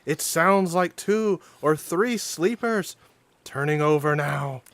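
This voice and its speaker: A man's voice: fairly high pitch, slow pace, fairly bright tone, no room sound. A man voicing a jumpy male sailor who keeps insisting he hears a noise below deck, urgent and insistent.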